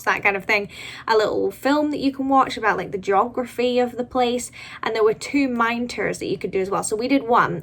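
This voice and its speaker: A woman's voice: mid-range voice, fairly bright tone. Chatty, enthusiastic woman vlogger. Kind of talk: casual travel vlog narration to viewers.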